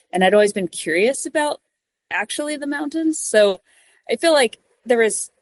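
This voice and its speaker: A woman's voice: fairly low pitch, no room sound. A young woman from New York City answering interview questions from her office cubicle, thoughtful and full of "like" and restarts. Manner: thoughtful, many "like" fillers and restarts. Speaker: podcast guest, mountain guide.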